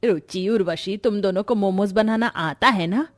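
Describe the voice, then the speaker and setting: A woman voicing a bossy, scolding middle-aged mother-in-law in a cartoon, sharp-tongued and commanding. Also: mid-range voice, moderate pace, faint music behind, lightly acted. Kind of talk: cartoon voice acting.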